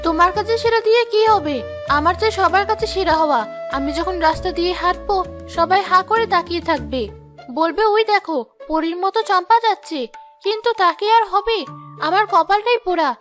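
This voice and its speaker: A woman's voice: extremely high pitch, fairly quick, faint music behind. A woman voicing a vain, melodramatic young village wife in a cartoon moral story, complaining in a whiny, dramatic way. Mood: resentful, sulky.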